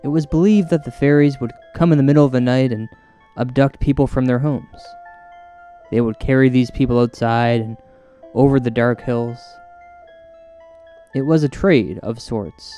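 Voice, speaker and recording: Male storyteller, medium pitch, very mellow tone, mock-serious paranormal investigator, scripted spooky comedy, deliberate delivery. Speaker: host and narrator, as a paranormal investigator. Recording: music bed under narration. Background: music.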